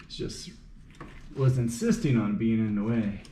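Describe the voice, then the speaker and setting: A man's voice: on the low side, unhurried, mellow. A man thinking aloud in short, muttered fragments while working on a car, unscripted and casual. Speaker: car mechanic making a repair video. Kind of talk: unscripted talking to himself while working on an engine. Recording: roomy echo, light background noise.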